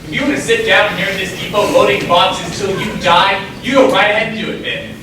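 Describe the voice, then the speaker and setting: A folksy, ambitious schemer played by a man presses his case in a high male voice. This is stage drama, heavily echoing, with clear background noise. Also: fairly even intonation, fairly quick, far from the mic, natural voice. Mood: scornful, insistent. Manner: folksy, insistent. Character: pushy, ambitious small-town schemer, scornful and persuasive. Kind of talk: stage play acting. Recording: echoey stage recorded from afar, noisy.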